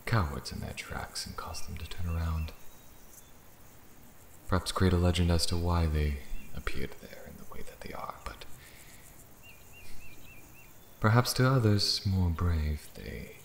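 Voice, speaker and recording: An ancient dragon in elven male disguise, acted by a man, speaks in an extremely deep voice. He is proud, amused and deliberate, with theatrical fantasy ASMR phrasing. The sound is roomy and echoing, with faint crickets and nature ambience behind. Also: slight background noise, heavily acted. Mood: intrigued, teasing. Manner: slow, deliberate, theatrical, breathy near-whispers at times.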